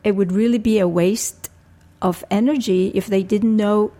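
An older woman from Rico, a family physician answering interview questions in a measured, thoughtful way. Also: medium pitch, steady tone, mellow. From Puerto Rico. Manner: measured, thoughtful, with frequent restarts.

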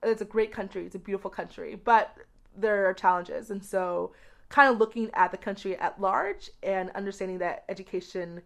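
A woman from the United States speaks as an interview guest in a medium-pitched voice. She answers conversationally and expressively, often doubling words to stress them. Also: normal speed, creaky, a bit bright. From grew up in the United States. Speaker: podcast guest, education researcher.

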